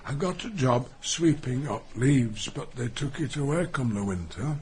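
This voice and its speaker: Slow-speaking, meek old man played by an actor. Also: medium pitch, lively intonation, a little mellow, a little hiss or hum, natural voice. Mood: bleak, reflective. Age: older. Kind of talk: radio play acting, with reflective monologue.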